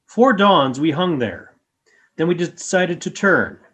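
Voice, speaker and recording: A man playing a calm, grave alien creature in a radio play, with a formal, measured way of speaking. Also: fairly high pitch, heavily acted. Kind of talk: fan radio play acting.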